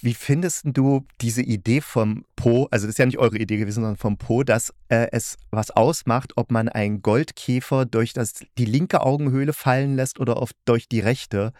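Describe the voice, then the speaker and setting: A man chatting casually as an interviewer, halting and thoughtful as he searches for words. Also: medium pitch, steady tone, a little mellow, no echo, studio quality. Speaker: interviewer, reviewer of audio dramas.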